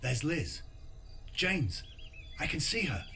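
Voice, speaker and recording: An actor playing a weary but determined resistance fighter in a video game cutscene, grave and intense. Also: male voice, a little high, big pitch swings, slow pace, gravelly, bright, forceful tone, a little hiss or hum, heavily acted. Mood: tense. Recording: music behind the voice.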